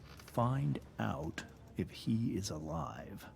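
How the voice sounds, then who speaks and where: A man playing a grave, weary middle-aged father in a video game, measured and solemn. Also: medium pitch, expressive, gravelly, background noise, exaggerated voice. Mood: grave, grateful. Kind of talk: naturalistic video game acting.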